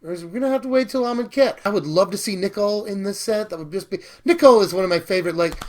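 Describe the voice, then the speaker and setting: Host: excitable man with a higher voice, hoarse from a sore throat, casual spoiler chat. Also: quick pace. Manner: excitable, gushing enthusiasm. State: hoarse, losing his voice. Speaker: channel host reviewing card spoilers.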